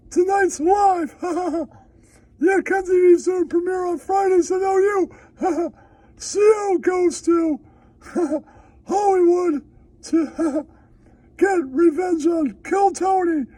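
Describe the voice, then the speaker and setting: A man voicing a gleeful, manic puppet announcer who cackles and shouts comic hype. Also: very high-pitched, no room sound, voice pushed up, exaggerated voice.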